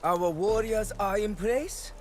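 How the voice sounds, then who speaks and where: An actor playing an arrogant, boastful young warrior villain in a video game, sneering and mocking theatrically. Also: male voice, high voice, slow. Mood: smug, menacing. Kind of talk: video game cutscene acting. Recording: loud music under the voice.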